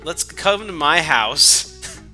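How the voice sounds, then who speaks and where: A man's voice: on the high side, very animated, extremely slow, pushed and bright, soft background music. A man doing a mocking dramatic reading with sweary, joking commentary and a mock-dramatic manner.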